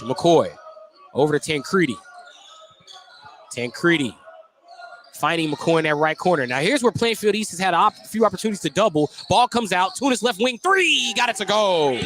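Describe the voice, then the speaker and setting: A man's voice: a little high, fairly bright tone. A man doing live play-by-play basketball commentary as a radio announcer. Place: indoor basketball gym, courtside. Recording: live gym noise behind the voice. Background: gym noise, bouncing basketball.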